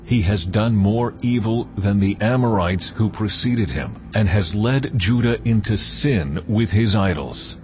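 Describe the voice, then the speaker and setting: A man voicing God in a dramatized Bible reading, slow and weighty in his pronouncements. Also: on the low side, lightly acted. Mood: solemn. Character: God speaking, male, solemn and stern. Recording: dull lo-fi audio over music.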